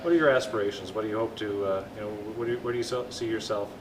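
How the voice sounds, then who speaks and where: A Canadian man interviewing band members with halting questions full of fillers and restarts. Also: on the low side, fairly even intonation. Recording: noisy camcorder audio, partly off-mic.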